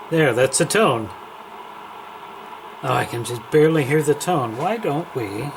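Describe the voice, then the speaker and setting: Clear noise sits behind a man recording a casual review inside his house. He takes his time, speaking at an unhurried pace with a gravelly fry in his voice. His delivery is unscripted, as he thinks aloud while working through the product. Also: mid-range voice. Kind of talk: casual unboxing and hands-on review. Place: inside a house, under a kitchen-type cupboard. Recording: clear radio static and background hiss.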